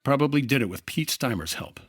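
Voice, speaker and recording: A sharp, wry male investigator from a 1950s-style radio detective serial. His husky voice sits close and dry, with lively swings in pitch as he reasons things out analytically. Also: a little fast, dry recording. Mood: businesslike. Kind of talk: old-time radio detective acting.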